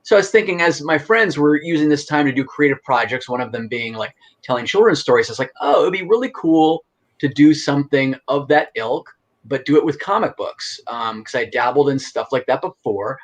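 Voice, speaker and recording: A man's voice: medium pitch, animated, brisk. A middle-aged man, an actor and writer, explaining his work as a guest in a casual, enthusiastic interview chat.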